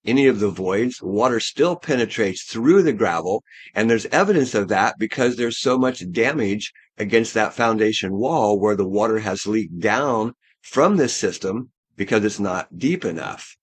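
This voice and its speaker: A man's voice: lowish voice, slightly rough. A man, a drainage contractor, who is losing his voice, talks a homeowner through materials on a video call, explaining step by step. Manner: instructive, restarts and fillers, explains step by step. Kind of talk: remote consultation walkthrough.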